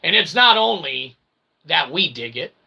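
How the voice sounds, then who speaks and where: Creaky, higher-voiced man rambling philosophically. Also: big pitch swings, unhurried, sharp tone. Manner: mock-formal, wordy, archaic turns of phrase; constantly tags phrases with "okay?". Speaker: host of own channel, independent author and developer. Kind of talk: rambling philosophical monologue to viewers.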